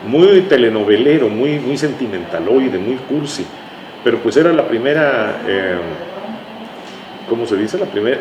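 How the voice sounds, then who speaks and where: A man with a Mexican accent from Tabasco, an interview guest reminiscing conversationally and often searching for words. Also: mid-range voice. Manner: hesitant, searching for words, repeats phrases. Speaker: interview guest, writer and radio drama veteran. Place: indoors in a bookstore. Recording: roomy echo, faint background noise.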